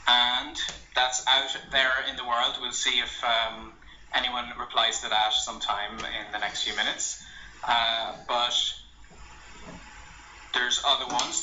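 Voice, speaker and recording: A man, a language blogger, casually walking through an app on his phone, relaxed and meandering with lots of ums and uhs. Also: medium pitch, slight background noise. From Ireland. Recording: roomy, slightly dull home recording.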